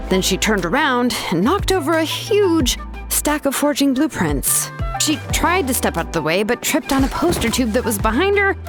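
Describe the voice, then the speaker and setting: A woman voicing an easygoing female artisan and name engraver in a video game, a chatty storyteller who drops casual fillers. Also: on the high side.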